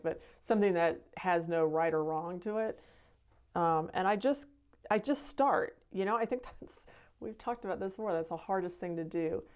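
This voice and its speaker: A woman's voice: on the low side, a little mellow, clean tone. A middle-aged woman painter hosting a casual live stream, talking in a chatty, rambling way. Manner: chatty, rambling, with laughs and fillers. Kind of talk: casual painting demo chat.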